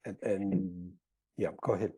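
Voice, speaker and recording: A man answering as an interview guest, halting and searching for his words in conversation. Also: deep voice, fairly even intonation, warm tone, husky.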